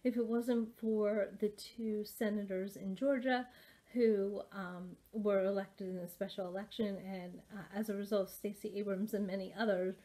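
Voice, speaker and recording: A woman's voice: mid-range voice, fairly even intonation, moderate pace, dark tone. Casting: woman, 40s, casual book vlogger, chatty and rambling, full of ums, webcam at home. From United States. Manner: rambling, many fillers and restarts. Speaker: booktuber. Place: home study with bookshelves, indoors. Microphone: webcam at desk.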